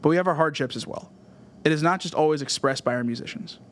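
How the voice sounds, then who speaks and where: A man with a slightly high voice hosts a podcast on his own. He delivers a scripted music essay in earnest, written-out phrases. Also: fast talker. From Southern California, USA. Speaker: podcast host.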